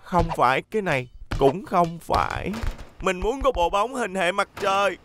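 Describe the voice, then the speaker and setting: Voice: mid-range voice, moderate pace, a bit bright, soft background music, lightly acted. An actor voicing an eager, pushy young construction vehicle, wheedling and insistent in a dubbed children's cartoon. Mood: longing.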